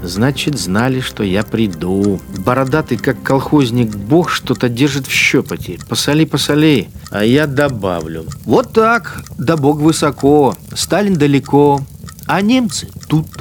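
A man's voice: medium pitch, animated, a little slow, natural voice. A male actor plays a wartime collaborator policeman with a mid-range voice. He is sneering and cruel, toying with his victims in a brooding dramatic monologue. Music runs under his words. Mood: menacing, gloating. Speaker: actor in a radio play. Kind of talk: dramatic first-person interior monologue.

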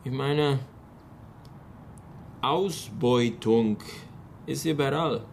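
Radio drama role: laconic man, gravelly and halting, cynical, drawn-out delivery, light ambient noise behind. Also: medium pitch, a little mellow. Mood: cryptic. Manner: halting, clipped fragments. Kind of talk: German radio drama dialogue.

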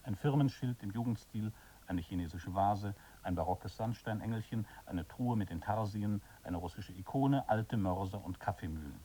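Low, husky male radio play narrator. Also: moderate pace, mellow, recorded from a distance. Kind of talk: scripted scene-setting narration.

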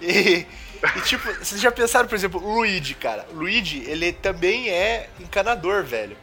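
A man's voice: sits high, big pitch swings, normal speed, sharp tone, faint music behind. A man with a Brazilian accent bantering in casual slang as a jokey show co-host. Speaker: podcast co-host.

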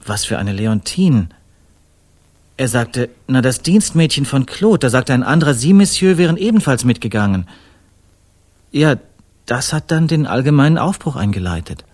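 An actor in a radio drama playing a polite, uneasy bohemian man of the world, speaking in a courteous, measured, conversational way. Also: medium pitch, sing-song, a little mellow. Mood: recounting.

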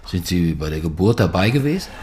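An actor playing a probing, brooding police detective in a radio drama, talking in short, insistent lines. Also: male voice, low voice, big pitch swings, fairly quick, a little mellow. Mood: pressing, accusing. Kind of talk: naturalistic radio drama acting.

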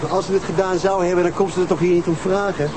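Actor doing a skeptical young dutch cop, noisy tv audio. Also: male voice, high voice, fairly even intonation, quick pace, close to an everyday voice. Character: younger male detective sidekick, skeptical. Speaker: detective.

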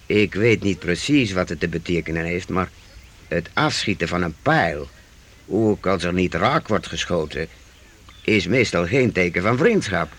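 Wise mentor, reassuring and measured. Radio drama, lowish lively voice, slight background noise. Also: male voice. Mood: thoughtful, reassuring. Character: calm, wise schoolmaster guiding his young friends. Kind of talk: radio play acting.

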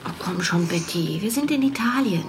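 An actress plays an insistent woman around forty who coaxes and pleads softly with her friend. It is intimate audio drama, medium in pitch, with faint music behind. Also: animated, normal speed, lightly acted. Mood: coaxing, pleading. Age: middle-aged.

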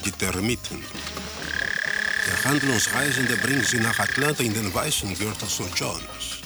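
A detached male narrator telling a grim crime story for radio. He speaks slowly and deliberately in a lowish, gravelly voice. Terse sentences are laid over loud music. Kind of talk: scripted radio drama narration. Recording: music under the voice.

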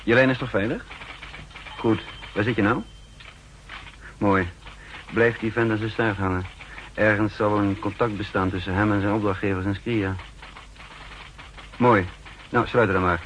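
An actor in a 1960s radio drama playing a businesslike, shrewd head of counter-espionage who explains things deliberately, with some hesitation. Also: male voice, lowish voice, steady tone, a bit bright, a little hiss or hum, natural voice, rough recording. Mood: brisk, commanding.

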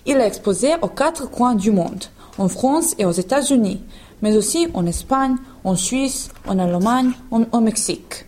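A woman co-presenter reading a clear, informative introduction on the radio. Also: on the high side, expressive, normal speed, darkish tone. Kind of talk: read radio narration.

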